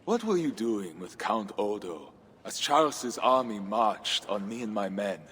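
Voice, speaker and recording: An actor playing a bitter, brooding Viking war leader in a video game, speaking gravely and deliberately. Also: male voice, on the low side, sing-song, fairly bright tone, slight background noise. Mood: accusing. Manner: grave, deliberate, questioning oratory. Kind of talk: dramatic video game cutscene acting. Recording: music under the voice.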